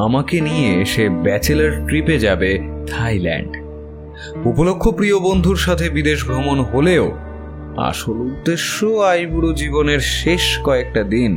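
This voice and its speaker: A man narrating a scripted first-person story in a measured, literary, dramatic way. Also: medium pitch. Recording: prominent guitar music bed. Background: plucked guitar music.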